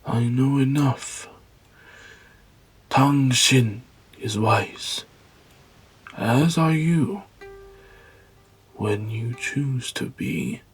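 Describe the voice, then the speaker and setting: A man as a slow, husky old father. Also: medium pitch, full character voice. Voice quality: husky, weathered. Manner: slow, grave, measured delivery. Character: stern, wise, ailing old Japanese father. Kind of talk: audio drama acting. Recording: music bed under the voice. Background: background music.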